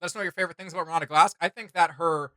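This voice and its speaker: A man's voice: a little high, steady tone, very fast, pushed and bright, no echo, clean studio sound. A man in his thirties hosting a casual reaction chat, talking in an enthusiastic, slangy way. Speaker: host of a reaction channel. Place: small home studio room, indoors.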